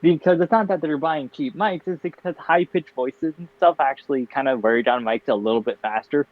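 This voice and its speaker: Young guy co-hosting, flat tired monotone, kinda muffled lo-fi mic, dead dry sound. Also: on the high side, moderate pace, no room sound. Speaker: podcast co-host. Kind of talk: casual chat. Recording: dull, dry lo-fi home mic.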